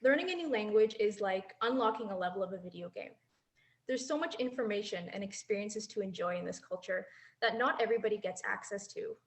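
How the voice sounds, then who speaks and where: A woman in her twenties giving an earnest, reflective prepared speech as a former exchange student, laughing lightly now and then. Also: mid-range voice. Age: 20s. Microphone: handheld mic.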